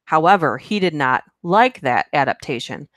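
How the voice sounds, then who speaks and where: Low-voiced woman chatting on a live stream. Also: lively intonation, normal speed, no echo, clean studio sound. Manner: chatty, giggly, rambling with many fillers. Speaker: horror narration channel host. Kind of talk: casual live-stream chat reading comments.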